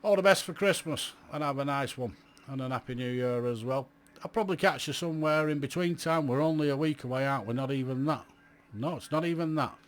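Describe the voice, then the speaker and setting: A man's voice: mid-range voice, fairly quick. A middle-aged man, talking to viewers in a blunt, chatty, rambling vlog about his amateur radio hobby and asking them many questions. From England. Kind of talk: casual vlog monologue to viewers.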